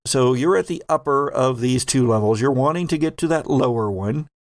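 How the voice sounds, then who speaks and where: A man's voice: mid-range voice, animated, moderate pace, dry recording, studio quality. A man working as game master, narrating a tabletop game in an improvised, descriptive style that builds scenes in lists.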